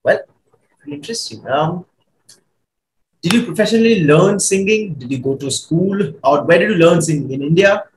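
A man's voice: high voice, expressive, moderate pace, reverberant, a little hiss or hum, poor audio. A young man hosting a podcast on a video call, asking questions casually and encouraging his guest. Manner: encouraging, brief affirmations. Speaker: podcast host.

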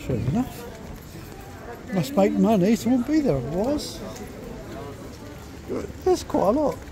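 A man's voice: sits high, sing-song, measured pace. A man giving rambling, casual commentary as he walks along outdoors as a travel vlogger. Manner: rambling, observational, fragmented remarks. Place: outdoors on a rainy pedestrian shopping street. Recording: outdoor street with passers-by chatter.

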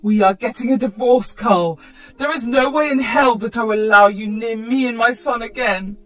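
A woman's voice: a little high, moderate pace, faint music behind, close to an everyday voice. A woman voicing a defiant, exasperated mother in a dramatised story. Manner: exasperated, emphatic. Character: indignant, defiant young mother standing her ground.

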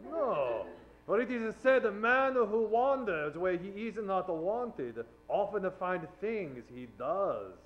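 A man acts out an inquisitive detective general from an old-time radio play. The voice is high and very slow, with stilted, courteous phrasing. The stage recording is slightly dull. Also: animated, slight background noise. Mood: suspicious, polite. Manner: stilted, proverb-spouting, measured and polite. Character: formal, inquisitive Chinese detective general with a young ward. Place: live theater stage. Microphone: shared vintage-style stand microphone.

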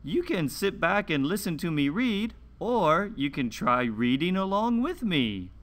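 Bright, animated man teaching reading slowly. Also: high-pitched. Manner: deliberate, carefully enunciated, sing-song emphasis. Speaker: teacher hosting a children's read-along show. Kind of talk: slow, scripted reading lesson for young children.

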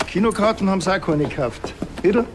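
A man's voice: high-pitched, expressive, brisk, background noise. An actor playing a dry, casual small-town policeman with a Bavarian accent in a TV crime comedy. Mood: dry, confronting.

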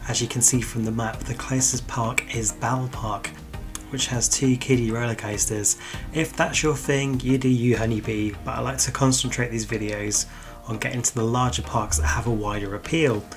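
A man's voice: medium pitch, steady tone, moderate pace. A man from the UK, a travel vlogger, giving an upbeat, chatty scripted voice-over about theme park road trips. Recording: music bed under the voice.

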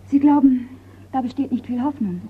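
A woman's voice: sits high, normal speed. An actress playing a curious, teasing young woman in a German radio crime drama, polite and inquisitive. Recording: muffled old radio recording, clear noise.

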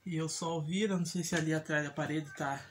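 A woman's voice: sits low, fairly even intonation, a little fast. A middle-aged Brazilian woman chatting casually and ramblingly as she does chores, often checking in with the listener. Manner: rambling, repetitive, often ends with a checking tag. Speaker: home vlogger, mother. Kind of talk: casual chat while doing chores. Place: small room at home, indoors. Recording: clear household noise, handling clicks.

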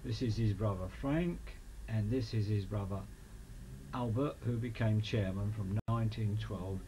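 A man with an English accent reading a scripted local history documentary in a measured manner. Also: on the low side, mellow. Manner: measured, listing names and dates. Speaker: narrator of a local history film. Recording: clearly noisy amateur voice-over recording.

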